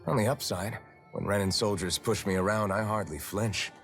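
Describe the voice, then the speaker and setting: A man's voice: fairly low pitch, moderate pace, faint music behind, natural voice. An actor playing a stoic young male slave in a video game, speaking in a dry, matter-of-fact way. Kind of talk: video game dialogue acting.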